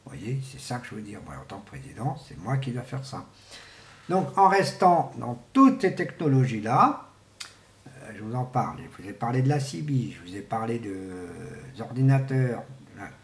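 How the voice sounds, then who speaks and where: Halting man rambling in an echoey room. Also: mid-range voice, sing-song. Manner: halting, hesitant, repeats words and restarts; often clears throat. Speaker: vlogger. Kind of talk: rambling informal monologue to viewers. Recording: echoey room with faint background noise.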